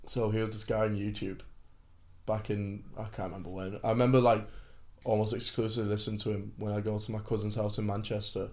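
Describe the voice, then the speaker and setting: Low-voiced young man bantering casually. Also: flat delivery, quick pace, a little mellow. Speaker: podcast co-host.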